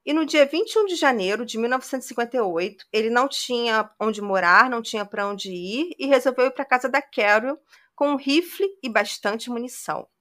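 Bright-voiced woman telling a crime story. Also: fairly high pitch, lively intonation, fairly quick. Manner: conversational retelling with frequent "né". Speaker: podcast host.

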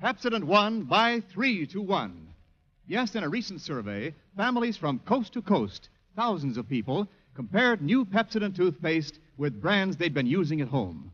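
A male commercial announcer with a high, lively voice. He reads scripted ad copy in 1940s radio style, on a dull, band-limited vintage recording. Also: normal speed.

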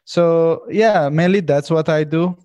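A man's voice: a little high, slow pace, darkish tone, no echo. A man in his twenties from Syria, a podcast guest talking earnestly in casual conversation, often saying "you know". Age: 20s.

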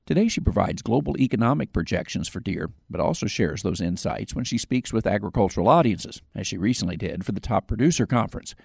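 A man hosting a farm radio program, asking questions in a measured, conversational way. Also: lowish voice, expressive, gravelly, a little mellow, breathy edge, no echo, clean studio sound. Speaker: host of farm radio show. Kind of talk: radio interview.

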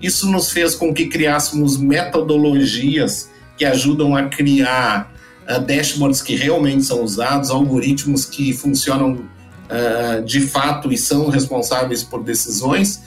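A man's voice: mid-range voice, fairly even intonation, measured pace, faint music behind. A man, a podcast guest who explains his data business at length with frequent restarts and fillers. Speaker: guest, data company founder. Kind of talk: podcast interview answers.

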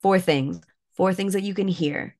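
A woman guest, a yoga and mindfulness coach, talking in a relaxed way with lots of fillers while stuffed up and a bit croaky. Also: on the low side, a little slow. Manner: relaxed, many fillers like "like" and "you know". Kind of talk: casual interview talk, guiding an exercise.

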